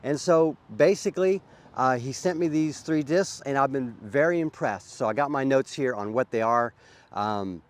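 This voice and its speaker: A man with a sore throat from a cold, chatting casually as host of a disc golf vlog on an outdoor course. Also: mid-range voice. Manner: easygoing, chatty, frequent uhs.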